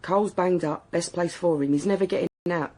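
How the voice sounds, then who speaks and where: An actress playing a blunt, defensive young working-class wife in a naturalistic radio drama, colloquial and outspoken. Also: female voice, fairly low pitch, brisk, a little mellow, close to an everyday voice. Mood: defensive, fed up.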